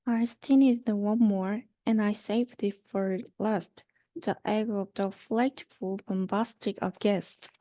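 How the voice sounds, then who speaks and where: Mellow young woman reading a rhyming story slowly. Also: medium pitch, steady tone, no echo. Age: young adult. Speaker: student reader. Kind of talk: reading a rhyming children's book aloud.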